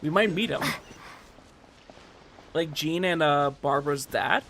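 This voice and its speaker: A man streaming a game and chatting casually, rambling and excitable, repeating himself for emphasis. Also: on the high side, a little slow, a little hiss or hum. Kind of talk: casual livestream chat about a game. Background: faint music.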